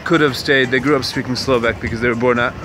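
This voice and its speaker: A man's voice: mid-range voice, fairly even intonation, fast, over music. A young man from Canada hosting a casual walking vlog, musing aloud with many fillers and restarts. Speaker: vlog host. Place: outdoors on city streets. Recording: outdoor street noise.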